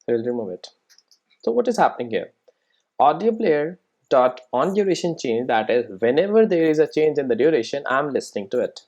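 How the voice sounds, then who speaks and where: A tutorial instructor with a medium-pitched voice explains code step by step. The delivery is informal and instructional, with frequent little check-in questions to the learner. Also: sing-song, fairly quick, a little mellow. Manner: informal, frequent check-in tags like "right?" and "make sense?". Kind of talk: screen-recorded coding tutorial, explaining step by step.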